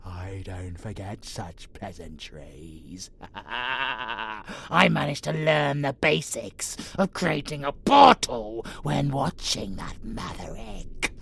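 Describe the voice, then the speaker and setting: A man playing a menacing vampire abomination in a monster audio roleplay, theatrical and taunting, with a gravelly growl. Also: medium pitch, animated, slow, full character voice. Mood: smug, scheming. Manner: theatrical, taunting, foul-mouthed, swinging from whispers to shouts. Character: menacing vampire abomination, theatrical, sadistic, grudgingly fond predator. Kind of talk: monster audio roleplay, dramatic villain monologue.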